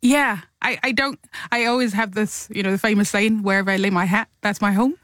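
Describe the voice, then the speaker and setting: A woman's voice: medium pitch, steady tone, fairly quick, a bit bright, dry recording, clean studio sound. Playful woman, laughing often. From London. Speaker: guest. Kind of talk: casual interview chat.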